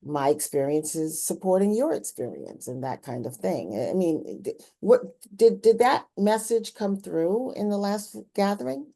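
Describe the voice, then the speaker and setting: A woman's voice: mid-range voice, animated, measured pace, hardly any pauses, mellow. A woman interviewing in a conversational, reflective way, explaining her points and often checking in with the listener.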